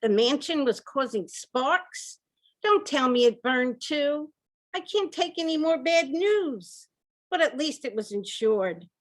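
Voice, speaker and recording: A woman's voice: sits high, expressive, takes it slowly. A woman playing a distraught, incredulous rich husband who owns a mansion, in an old-time radio comedy style. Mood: alarmed, anxious. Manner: dramatic, incredulous, repetitive laments. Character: distraught rich mansion owner, husband, reeling from piling losses.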